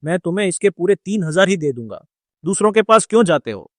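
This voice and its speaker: A man voicing a flattering, wheedling scrap dealer in a cartoon story, with a placating manner. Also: sits high, fairly quick, no echo, studio quality. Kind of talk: Hindi cartoon moral story dialogue.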